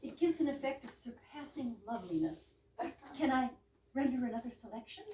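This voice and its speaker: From well back in a noisy room comes a woman acting a carefree, giggly younger sister in a 1920s stage comedy. Her voice is fairly high. She is breezy and mock-grand, teasing whoever she talks to. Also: lively intonation, a little fast, warm tone, natural voice. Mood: playful, breezy. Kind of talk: amateur stage readers theater, 1920s comedy. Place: live reading in a roomy indoor space. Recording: echoey room, distant mic, background noise.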